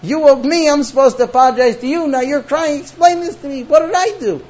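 Man acting a baffled, exasperated husband, high-pitched. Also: very animated, fast, a little mellow, slightly rough, muffled recording. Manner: incredulous questioning, protesting, climbing high in exasperation. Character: baffled, aggrieved husband woken from sleep.

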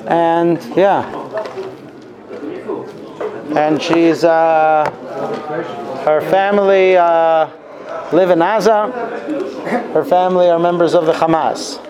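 A man giving a religious class as its teacher, explaining deliberately and telling stories as he goes. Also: on the high side, steady tone, crowd noise. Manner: deliberate, explanatory, repeats and translates Hebrew phrases. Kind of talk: Torah class lecture with storytelling.

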